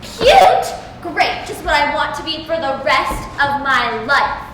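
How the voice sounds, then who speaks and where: A woman's voice: sits high, sing-song, slow pace, heavily acted. A woman acting a bossy, melodramatic girl with emphatic delivery in an amateur stage comedy. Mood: melodramatic, anxious. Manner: emphatic, dramatic. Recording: echoey distant hall, clear noise.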